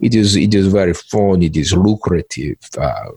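Man acting as cagey male cybercriminal, smug, stammering, husky gravelly voice, pitch swinging around. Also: lively intonation, darkish tone, no echo, studio quality, heavily acted. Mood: self-satisfied. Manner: halting, stammering, repeats words, drawn-out pompous address. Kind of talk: one-act play interview.

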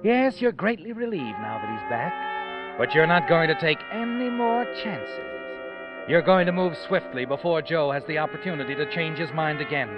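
A man performs as an ominous 1940s radio narrator with a fairly high voice. He is deliberate and insinuating, as if he knows every secret. Music plays clearly behind him on a dull old recording. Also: animated, natural voice. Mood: ominous, knowing. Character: ominous, knowing narrator who taunts the protagonist. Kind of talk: dramatic second-person radio narration, 1940s. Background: dramatic music.

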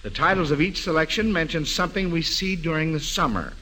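A man's voice: a little high, lively intonation, fairly quick, bright, forceful tone, a little hiss or hum, rough recording. A man hosting a 1940s radio quiz show in a folksy, avuncular way, repeating answers back, on an old broadcast recording.

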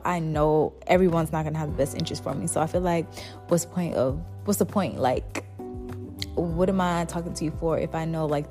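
A soft-spoken young woman hosting her podcast, chatting casually and rambling with lots of "like" fillers. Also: on the low side. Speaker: podcast host. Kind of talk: casual solo chat.

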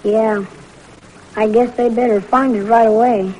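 Woman playing a slow-talking, sickly ten-year-old boy. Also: on the high side, steady tone, darkish tone, lightly acted, poor audio. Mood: subdued. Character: frail, tired, frank ten-year-old sick boy. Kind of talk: 1940s radio acting. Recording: old radio recording with faint noise.

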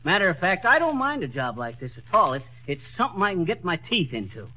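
An actor playing a bumbling, boastful small-town husband in a 1930s radio comedy, with a folksy drawl and sputtering excuses. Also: male voice, sits high, very animated, quick pace, pushed and bright, full character voice. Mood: sheepish. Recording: dull old radio broadcast recording.

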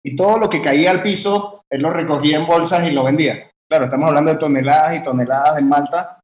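A man's voice: fairly high pitch, fast. Rambling Venezuelan-born man in echoey room. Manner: rambling, many fillers and restarts. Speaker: guest brewer. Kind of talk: conversational interview. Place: warm room with fans running. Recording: echoey room, faint background hum.

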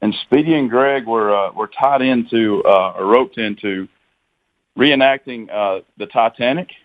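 A man's voice: medium pitch, a little slow. A man calling in to a radio show, speaking hesitantly and often restarting. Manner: hesitant, many fillers and restarts. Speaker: caller. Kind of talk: phone call to a radio show. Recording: tinny phone line.

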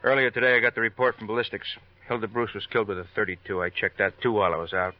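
An actor playing a weary, edgy American police detective who grumbles in terse fragments in an old-time radio crime drama. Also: male voice, lowish voice, flat delivery, very fast, close to an everyday voice. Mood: weary reporting. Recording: dull, dry vintage radio recording.

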